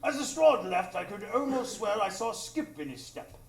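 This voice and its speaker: Man acting an earnest gentlemanly storyteller, lively pitch, literary phrasing, live radio play, faint noise. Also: moderate pace. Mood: reflective, dramatic. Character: earnest gentlemanly male doctor-narrator recounting adventures. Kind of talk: dramatic scripted narration. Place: small improv theatre stage. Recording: roomy, noisy live stage recording.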